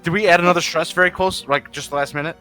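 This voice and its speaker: A man's voice: fairly high pitch, fairly even intonation, fast talker, pushed and bright, faint music behind. A man chatting casually as a player in a tabletop roleplaying game, with a wry, grumbling manner.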